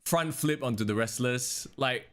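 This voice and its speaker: A man, co-hosting a casual wrestling podcast, talking in an animated way and swearing casually. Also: medium pitch, unhurried. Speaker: podcast co-host.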